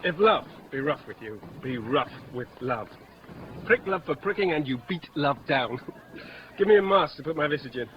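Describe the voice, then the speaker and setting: Man acting witty theatrical gentleman, muffled old radio. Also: fairly high pitch, expressive, moderate pace, slight background noise, close to an everyday voice. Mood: teasing, boisterous. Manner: theatrical, playful verse delivery. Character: Mercutio, witty teasing young gentleman friend. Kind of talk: 1950s radio Shakespeare acting. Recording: muffled old radio broadcast, music behind.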